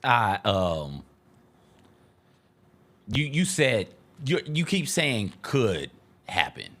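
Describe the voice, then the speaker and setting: A man's voice: on the low side, big pitch swings, drawn-out words, fairly bright tone. A man co-hosting a casual sports talk show, talking in combative, slangy banter with mock rants and swearing.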